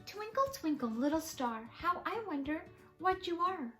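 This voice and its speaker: A woman voicing a lovestruck female slug from a storybook, sweet and yearning, reciting love verse in a drawn-out sing-song. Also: very high voice, lively intonation, pushed and bright, soft background music, voice pushed up, full character voice.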